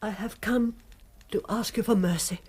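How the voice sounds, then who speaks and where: An actress playing a desperate, dignified aristocratic wife and mother in a radio drama, formal and earnestly pleading in a husky voice. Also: mid-range voice, fairly even intonation, normal speed, a little mellow, close to an everyday voice. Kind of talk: British radio drama acting.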